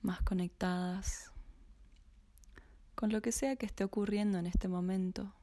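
Soft-spoken woman guiding a meditation. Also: mid-range voice, fairly even intonation. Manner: slow, soft, half-whispered guidance. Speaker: meditation guide. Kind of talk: guided body scan meditation.

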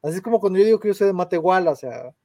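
A man's voice: high-pitched, lively intonation, rapid-fire, warm tone, dry recording, clean studio sound. A young Mexican man with a Mexican Spanish accent, ranting in casual, slang-heavy podcast chat and swearing freely. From Mexico, San Luis Potosí. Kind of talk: casual chat, film rant.

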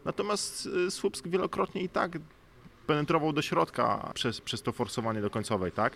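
A man's voice: a little high, very animated, normal speed, a bit bright. A man, explaining things thoughtfully as an athlete being interviewed, often adding small tag questions. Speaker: professional basketball player, interview guest. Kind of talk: analytical sports interview talk. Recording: clearly noisy background ambience.

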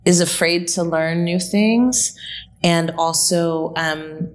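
A woman's voice: on the low side, slow. Reflective woman in her late thirties. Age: late 30s. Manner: reflective, storytelling, with fillers. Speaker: guest. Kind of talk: conversational interview.

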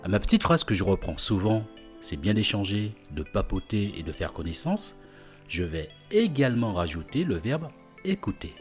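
A man's voice: lowish voice, big pitch swings, moderate pace, faint music behind. A man hosting a food podcast, who narrates and interviews in a friendly, curious way. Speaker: host.

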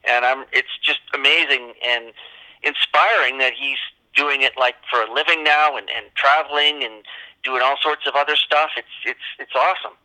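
A Canadian man in his forties speaks as an interview guest, telling stories in a casual, loosely rambling way. His voice is strikingly bright and sharp, with a middling pitch. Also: fairly even intonation, normal speed, poor audio.

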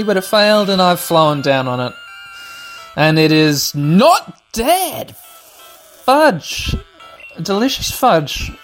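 A man in his thirties with a slightly hoarse voice, hosting a let's play with rambling, sweary, mock-dramatic gameplay commentary. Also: sits high. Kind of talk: casual gameplay commentary with nostalgic asides. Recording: music playing behind the voice. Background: music.

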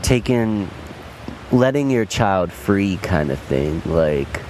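A man's voice: low voice, very animated, slow, a little mellow, a little hiss or hum, low-quality recording. A man interviewing a musician, chatty and curious, often digressing into stories. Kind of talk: casual interview chat.

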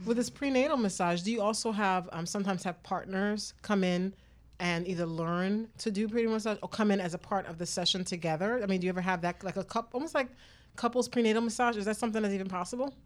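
A woman's voice: medium pitch, quick pace. A woman hosting a talk show with casual, chatty questions, playfully repeating phrases for emphasis.

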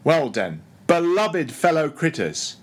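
Man acting a grumbling old ship's cook, preaching loudly. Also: fairly high pitch, very animated, slow pace, pitched above the performer's own voice, full character voice. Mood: grudging, scolding. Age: older. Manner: grumbling, solemn mock-preacher delivery. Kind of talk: audiobook character voice.

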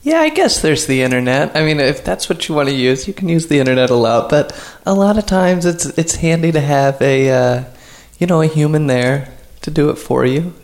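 A man's voice: on the high side, animated, moderate pace. A man playing a devoted, nocturnal human helper to vampires in improvised radio theater, conversational and mock-earnest. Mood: mildly slighted. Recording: loud music under the voice.

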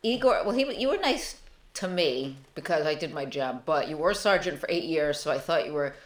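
A woman's voice: sits low, expressive, a little fast. Woman in her thirties, casual podcast co-host, blunt dry interjections, echoey room. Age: 30s.

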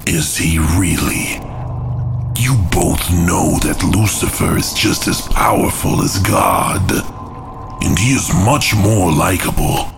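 Casting: male actor as brooding, scheming demon for fantasy audio drama, extremely low voice, slow and deliberate delivery. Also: sing-song, gravelly, heavily acted. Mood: brooding, persuasive. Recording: music under the voice. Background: dramatic music.

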